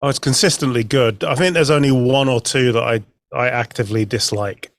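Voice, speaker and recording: A man's voice: medium pitch, a little fast. A man chatting casually and anecdotally as a podcast guest.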